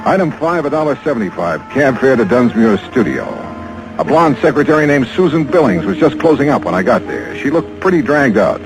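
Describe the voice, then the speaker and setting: Actor as lively hard-boiled radio investigator, American man. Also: medium pitch, fairly quick, close to an everyday voice, low-quality recording. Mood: wry, brooding. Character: shrewd, hard-boiled male insurance investigator. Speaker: radio drama lead and narrator. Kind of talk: mid-century American radio detective acting. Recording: vintage radio recording with music underneath.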